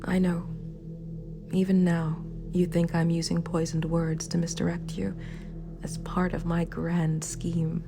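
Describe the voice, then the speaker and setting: A woman playing a remorseful, sympathetic villain ruler in a scripted ASMR roleplay, speaking in a hushed, breathy, formal and measured way. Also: lowish voice, flat delivery. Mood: regretful. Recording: clear background music. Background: dark, spooky background music.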